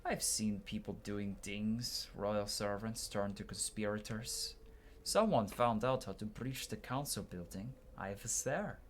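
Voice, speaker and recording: A man's voice: fairly low pitch, measured pace. Man reading game dialogue aloud, noisy recording. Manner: stumbles and restarts while reading aloud. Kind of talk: gameplay commentary with dramatic read-aloud dialogue.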